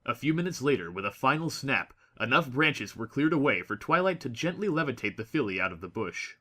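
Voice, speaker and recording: A man reading a scripted story as a narrator, with expressive, dramatic delivery. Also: mid-range voice, fairly quick. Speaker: audiobook narrator.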